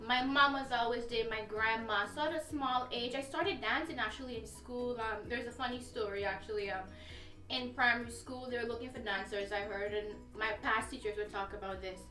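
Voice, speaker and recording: A woman with a bright, forceful voice tells her story with animated energy. She sits away from the mic in an echoey room, with faint music behind her. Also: a little high, fairly quick, distant mic. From Guyana. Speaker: dancer and designer guest. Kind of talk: casual chat.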